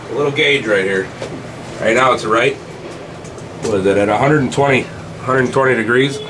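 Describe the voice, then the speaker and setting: A middle-aged man, a plumber, casually walking through shut-off valves and showing how they work, step by step. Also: lowish voice. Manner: casual, plain-spoken, explaining step by step. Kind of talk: walkthrough training demo. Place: utility rooms of a commercial building, indoors. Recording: distant camera mic with air conditioning noise.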